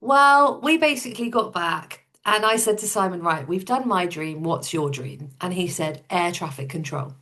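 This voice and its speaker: A woman's voice: on the low side, animated, normal speed, hardly any pauses, fairly bright tone. A woman guest on a podcast, telling her own story in a casual, matter-of-fact, informal way.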